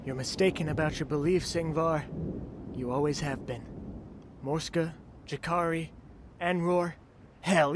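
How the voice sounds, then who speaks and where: A man's voice: fairly high pitch, noisy background, close to an everyday voice. A man playing an earnest, principled samurai lord in a fantasy audio drama. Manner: earnest, pleading, steady.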